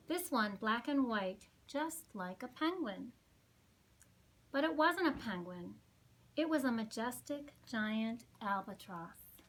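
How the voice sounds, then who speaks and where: A woman reading a picture book aloud to young children, with dramatic, drawn-out phrasing and little asides. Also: a little high, poor audio. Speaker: children's storytime reader.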